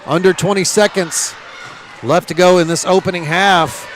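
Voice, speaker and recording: An energetic man with a high pitch calls a live high school basketball game. He leans on "uh" fillers between phrases, with faint gym noise behind him. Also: moderate pace. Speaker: play-by-play announcer.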